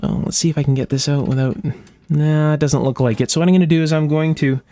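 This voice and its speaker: A man's voice: a little high, steady tone, fast, dark tone, no room sound. Man explaining repair work, dry close sound. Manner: casual, methodical step-by-step explaining. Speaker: host of an electronics restoration channel. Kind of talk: hands-on workshop demonstration, thinking aloud. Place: indoor electronics workshop.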